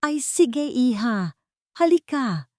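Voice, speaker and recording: Woman voicing a kindly, higher-pitched old orphanage matron. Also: sing-song, slow pace, darkish tone, no room sound, studio quality, heavily acted. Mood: cheerful.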